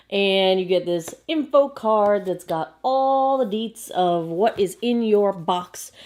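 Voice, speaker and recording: A young woman with a hoarse, croaky voice, chatting casually as she unboxes beauty products to camera. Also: mid-range voice, darkish tone. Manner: chatty, bubbly, quick asides. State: hoarse, frog in throat. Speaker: beauty vlogger.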